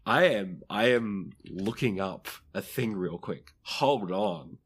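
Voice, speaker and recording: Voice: sits low, animated, slow pace, slightly rough. A game master running a tabletop role-playing game, narrating scenes and calling for rolls in a conversational way.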